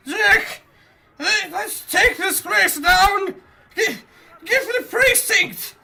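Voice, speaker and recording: A drunk, blustering cop in a comic radio play. The voice is piercingly high and harshly bright, creaky, dragging each slurred threat out very slowly. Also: male voice, exaggerated voice. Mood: manic, drunk. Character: drunken, blustering male police officer, slurring and shrill.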